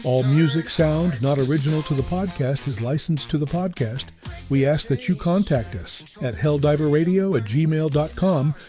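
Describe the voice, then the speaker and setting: A man's voice: a little high, moderate pace, dark tone, soft background music. A man reading scripted credits and a legal notice as an announcer.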